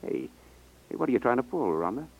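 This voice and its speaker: Slick insistent salesman, animated delivery, slangy, muffled old radio drama sound. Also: medium pitch, fairly quick, creaky. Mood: accusing. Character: brash fast-dealing salesman, slangy and pushy, feigning honesty. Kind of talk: 1950s radio drama acting. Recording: muffled 1950s radio recording.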